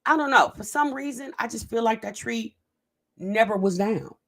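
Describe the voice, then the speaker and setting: A woman's voice: on the high side, normal speed. Chatty woman hosting reaction commentary. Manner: animated, drags words out for emphasis, talks straight to viewers. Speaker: host of a reaction commentary channel. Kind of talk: casual reaction commentary to viewers.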